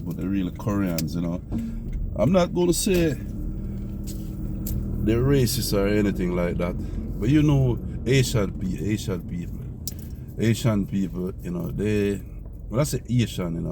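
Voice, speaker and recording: Man, very low voice, chatty driving vlogger, rambling delivery, heavy car and traffic noise. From Jamaica. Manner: rambling, repeats himself, talks to viewers. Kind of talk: casual vlog while driving. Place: car in the city. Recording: noisy car recording with traffic.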